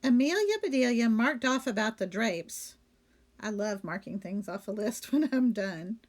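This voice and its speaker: A woman teacher reading a storybook aloud to children expressively, pausing to put questions to her young listeners. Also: on the high side, lively intonation.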